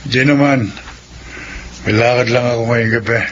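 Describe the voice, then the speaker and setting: A Filipino man acting in a horror radio drama as a cautious creature of darkness, measured and secretive. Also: medium pitch, steady tone, fairly bright tone, close to an everyday voice. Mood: guarded, cautious. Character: guarded, cautious male night predator of darkness. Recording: dull lo-fi audio, faint background noise.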